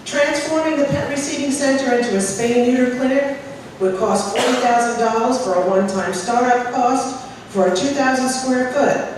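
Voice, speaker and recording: A woman's voice: on the high side, moderate pace. Woman testifying urgently in an echoey hall. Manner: earnest, urgent, pressing with figures. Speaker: animal rescuer giving public testimony. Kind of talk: prepared statement read aloud. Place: large meeting hall. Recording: echoey hall from far off, faint noise.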